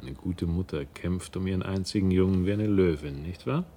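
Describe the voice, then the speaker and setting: An actor playing a mocking, menacing adversary in a radio drama, with a gravelly voice and sardonic courtesy. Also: male voice, sits low, normal speed, mellow, a little hiss or hum. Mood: mocking menace. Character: smooth-tongued, mocking, menacing male adversary. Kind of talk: German radio drama acting.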